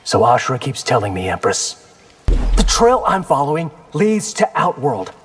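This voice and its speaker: Man playing a cocky young warrior, lively pitch. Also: normal speed, in a large hall, faint music behind, close to an everyday voice. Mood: defiant. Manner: cocky, wry, determined. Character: defiant, confident young male warrior clan fighter. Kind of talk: video game character acting.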